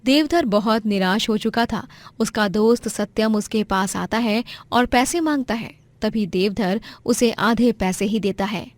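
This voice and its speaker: A woman's voice: mid-range voice, a little slow, few pauses, no room sound. A woman narrating a scripted moral story in a dramatic storytelling style.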